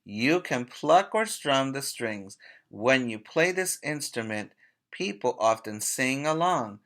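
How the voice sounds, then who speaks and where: A man teaching preschoolers by reading aloud in a deliberate, emphatic way, pausing to put questions to his young listeners. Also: mid-range voice, expressive, fairly bright tone. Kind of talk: read-aloud lesson for young children.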